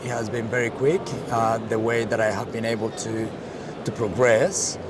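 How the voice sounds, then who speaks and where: A man's voice: mid-range voice, little pitch movement, moderate pace. A man with a Colombian accent, a Spanish speaker, telling his career story in a casual interview, with many fillers and restarts. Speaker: interview guest, marketing director. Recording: street noise behind.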